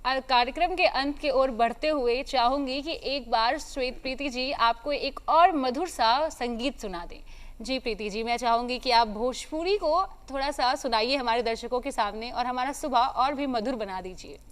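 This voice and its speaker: A woman's voice: high voice, moderate pace. A woman co-hosting a morning television show, presenting politely and formally.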